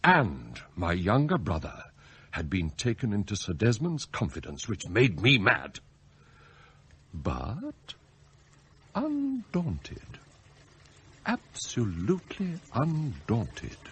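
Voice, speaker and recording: Scheming butler, male, for radio drama: formal and deferential, slow delivery, hugely varied pitch, bitter envy beneath. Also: wildly swooping pitch, a bit bright, slightly rough, exaggerated voice. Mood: wounded, determined. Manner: formal, measured, deferential to employers. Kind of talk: radio drama acting with confiding monologue.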